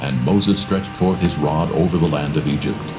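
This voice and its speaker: Very low-voiced man narrating scripture solemnly, slightly muffled, music behind. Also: normal speed. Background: prominent background music.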